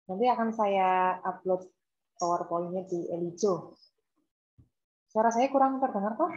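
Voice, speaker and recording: Dosen perempuan bersuara lembut, audio teredam. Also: female voice, medium pitch, a little slow, a little mellow. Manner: informal, explains by examples and repetition. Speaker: university lecturer. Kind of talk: online lecture, explaining and asking students. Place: on campus, online class. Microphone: laptop video call. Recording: dull, lo-fi video call audio.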